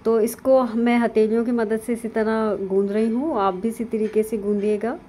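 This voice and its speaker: A woman, calmly narrating a cooking lesson step by step over video of her hands at work. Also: a little high, moderate pace, a little hiss or hum. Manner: calm, instructive, with frequent fillers. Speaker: cooking channel host. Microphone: voice-over over hands-only video.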